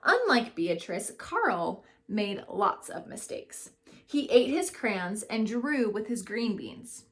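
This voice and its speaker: A woman educator giving an expressive read-aloud storytelling lesson for children, acting out the characters' lines. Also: medium pitch, slow pace. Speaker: school educator reading to children.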